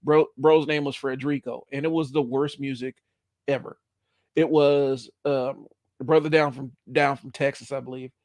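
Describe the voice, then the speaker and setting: A man's voice: medium pitch, little pitch movement, normal speed, a little mellow, no echo, clean studio sound. A man in his forties with the sniffles, hosting a solo stream and talking casually, with many fillers. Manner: conversational, rambling with many fillers. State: stuffy, has the sniffles. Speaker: podcast host.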